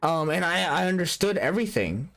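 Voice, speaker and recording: A man's voice: on the high side, sing-song, fairly quick, a bit bright. A young adult man streaming a game in casual chat, joking about himself and laughing often. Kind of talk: casual live-stream chat while gaming.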